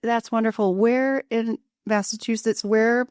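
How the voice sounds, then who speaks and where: A woman's voice: on the high side, steady tone, measured pace, a little mellow, no room sound, studio quality. A woman from Pennsylvania hosting a podcast, asking curious questions and laughing easily as she chats. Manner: friendly, curious interviewer who laughs often. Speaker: podcast host. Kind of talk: casual interview talk.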